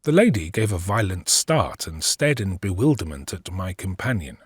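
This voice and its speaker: Deep, husky narrating. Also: male voice, sing-song, no room sound, studio quality, heavily acted. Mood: measured. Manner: measured, literary storytelling. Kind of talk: scripted audiobook narration.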